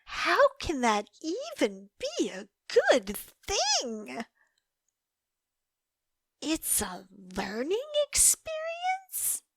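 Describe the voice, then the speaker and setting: A woman playing an obsessive, lovesick young yandere in an audio roleplay, coy and halting, slipping between hushed asides and emotional swells. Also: high voice, dry recording, clean studio sound, full character voice. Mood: fervent, insistent. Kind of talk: audio roleplay monologue, intimate dramatic acting.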